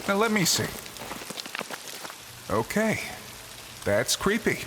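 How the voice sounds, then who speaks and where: A man's voice: high voice, sing-song, slow pace, long reverb tail, background noise, heavily acted. A man playing a wary, quippy young adventurer in an audio drama, incredulous and protective in manner.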